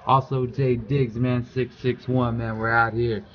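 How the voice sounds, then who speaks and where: A man giving casual, slangy shout-outs to the camera. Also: mid-range voice, flat delivery, unhurried. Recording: muffled, faintly noisy recording.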